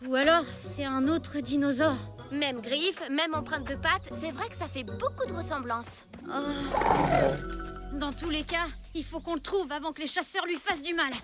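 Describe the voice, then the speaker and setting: A very high, earnest boy's voice acted by a woman, plucky and exclamatory. It is children's cartoon dubbing of a loyal little cave boy, with loud music behind. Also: normal speed, close to an everyday voice. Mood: eager. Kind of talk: animated children's series dubbing.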